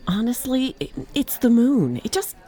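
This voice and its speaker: A woman's voice: on the high side, sing-song, moderate pace, warm tone, faint music behind. A woman playing an uneasy, thoughtful mission control officer in an audio drama, with a hesitant manner. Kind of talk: naturalistic audio drama acting.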